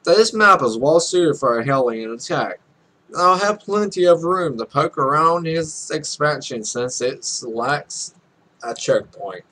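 A man's voice: medium pitch, fairly bright tone. A young man hosting a let's play, reading game text aloud with casual, irreverent, sweary asides. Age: young adult.

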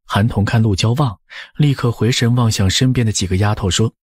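A man's voice: lowish voice, brisk, a little mellow, breathy edge, no echo, studio quality. A man narrating a historical novel as a scripted audiobook.

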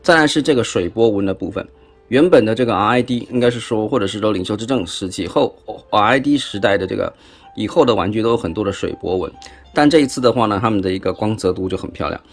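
A man's voice: on the low side, quick pace, faint music behind. A man with a Taiwanese accent giving a chatty, casual hands-on toy review, often tossing in rhetorical questions. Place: indoors at a tabletop.

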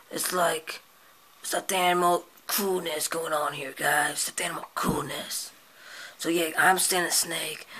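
A performer voicing a laid-back, boastful male snake who talks in breathy whispers and a drawn-out manner. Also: mid-range voice, pushed and bright.

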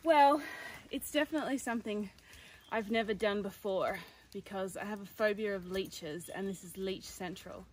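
A young woman chatting expressively to a handheld phone camera on an outdoor forest trail, sounding exasperated and quick to laugh. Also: mid-range voice, a little hiss or hum, low-quality recording. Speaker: hiking companion. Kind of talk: casual on-camera chat. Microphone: phone camera held by companion.

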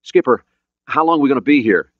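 A male narrator acts an eager American navy sailor in a thriller audiobook. He is informal and hesitant, with a raised, lively pitch and a dry sound. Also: fast, no room sound, narrow, tinny audio, close to an everyday voice. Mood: eager, casual. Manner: casual, hesitant, wordy with superiors.

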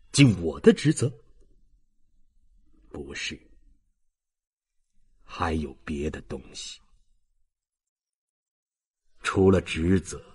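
A man voicing a stern police inspector in an audiobook, shaken and questioning his own conscience in a low, husky, halting voice. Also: darkish tone, exaggerated voice. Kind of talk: audiobook inner monologue.

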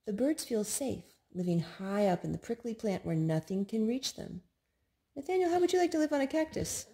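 A woman reading children's picture books aloud in an expressive, measured storytime style. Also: fairly high pitch. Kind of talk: read-aloud storytime narration. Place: indoors at home.

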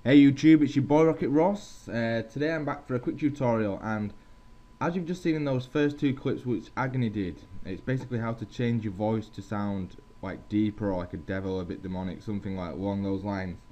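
A young man casually talks viewers through a software tutorial. His voice is lowish and very mellow. It is recorded on a muffled headset mic with faint hiss. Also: fairly quick. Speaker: video tutorial host. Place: at a computer. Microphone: gaming headset.

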